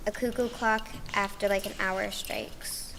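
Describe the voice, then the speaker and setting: A woman's voice: medium pitch, steady tone, a little slow. A young female cast member chatting casually in an interview. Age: child or teenager. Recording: noisy room ambience.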